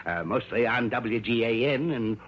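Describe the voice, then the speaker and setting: Actor as a crusty, animated old country doctor. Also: male voice, mid-range voice, normal speed, close to an everyday voice. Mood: impressed, obliging. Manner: folksy, hesitant, easily ruffled. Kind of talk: 1950s-60s radio drama acting. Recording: dull, noisy old radio recording.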